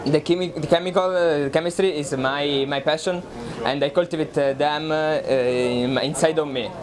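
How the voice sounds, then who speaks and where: A man's voice: on the high side, steady tone, normal speed. A young man in his late teens from Italy with an Italian accent, earnestly struggling for English words. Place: busy indoor university lobby. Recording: crowd chatter behind.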